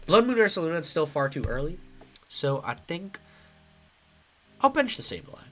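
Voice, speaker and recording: Young man, bright voice, stuffy nasal tone, chatty card game commentary, faint music behind. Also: a little high, big pitch swings, moderate pace. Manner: thinking aloud, chatty and casual. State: congested, a little nasal. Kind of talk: live gameplay commentary.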